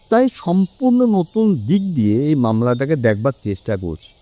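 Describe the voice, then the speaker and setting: A confident detective acted by a man in an audio drama, swinging widely in pitch on a somewhat high voice. He lays out his reasoning with deliberate emphasis. The sound is close and dry. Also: darkish tone, no room sound. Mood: grave, intent. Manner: deliberate, emphatic, theatrical phrasing. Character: confident, sharp-minded male detective explaining a case. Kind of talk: Bengali audio story acting.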